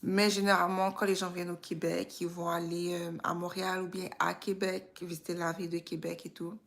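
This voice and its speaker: Woman, Québécois French accent, slightly low pitch, strong vocal fry, casual reflective chat, unhurried. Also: flat delivery, a bit bright. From Quebec, Canada. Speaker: livestream host.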